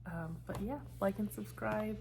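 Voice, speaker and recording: A woman's voice: medium pitch, fairly even intonation, a little slow, creaky, darkish tone, a little hiss or hum. A woman in her thirties chatting casually in a book vlog, meandering and trailing off as she talks. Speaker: book vlogger. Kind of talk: casual chat.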